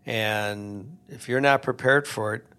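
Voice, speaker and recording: A man co-hosting an advice podcast, talking casually with halting restarts and emphatic rises. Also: mid-range voice, sharp tone, clear, resonant tone. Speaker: podcast host giving advice.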